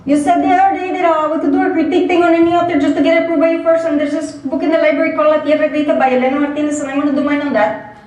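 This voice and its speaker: A woman's voice: sits high, flat delivery, a little mellow, pitched above the performer's own voice, lightly acted. A woman voicing an eager young male student who pleads earnestly and talks in a rush. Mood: pleading. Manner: pleading, rushed, insistent. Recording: distant echoey hall with faint noise.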